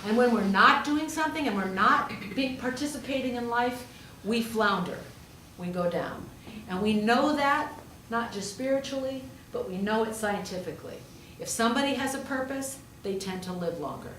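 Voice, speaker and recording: A woman's voice: on the high side, animated, moderate pace, few pauses, a bit bright. A woman pastor giving a storytelling sermon in a conversational way, with rhetorical questions and emphatic repetition. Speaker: pastor preaching, also a hospital chaplain. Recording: roomy, distant pickup with faint noise.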